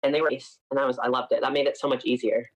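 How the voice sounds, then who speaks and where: A man's voice: mid-range voice, little pitch movement, rapid-fire, crowd noise. A man in his twenties from upstate New York, a singer chatting casually as an interview guest and filling his talk with frequent "like"s.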